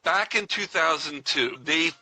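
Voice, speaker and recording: Very bright, high-voiced American man. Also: measured pace, vocal fry, no room sound. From United States. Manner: enthusiastic. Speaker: radio show co-host. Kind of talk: casual fan interview.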